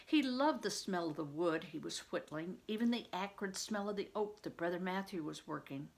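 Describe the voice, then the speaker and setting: An older woman reading a story aloud like a teacher, pausing to explain and put questions to the listener. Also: fairly low pitch, animated, gravelly.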